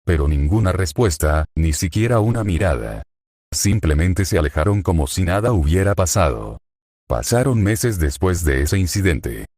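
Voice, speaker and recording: Male narrator, low voice, dry close sound, steady fanfic reading. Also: moderate pace, no room sound. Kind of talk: reading a translated fan story aloud.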